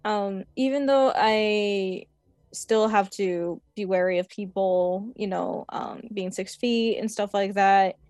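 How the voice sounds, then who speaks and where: A woman's voice: mid-range voice, slow pace, darkish tone, no echo. Chatty young woman with many fillers. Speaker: podcast guest. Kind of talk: casual chat.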